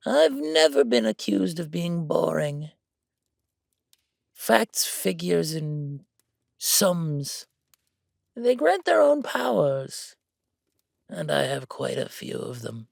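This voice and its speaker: A high, theatrical voice for a smug, calculating count in an audio drama. The delivery swoops widely and takes its time, savoring each taunt with grand self-assurance. The recording is close and dry. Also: male voice, very animated, slow pace, vocal fry, pauses often, no room sound, heavily acted. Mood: smugly proud. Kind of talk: villain audio roleplay.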